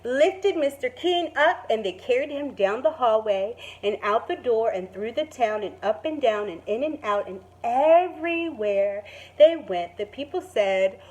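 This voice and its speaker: A woman teacher reading a story aloud to young children in an expressive, dramatic and emphatic way. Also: a little high, unhurried, poor audio.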